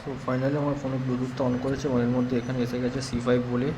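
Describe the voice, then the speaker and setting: Guy doing a casual how-to vlog, pretty monotone, explains step by step, some noise and vehicle sound behind. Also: mid-range voice, fast talker. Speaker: motorcycle vlogger demonstrating a product. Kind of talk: casual hands-on tutorial narration.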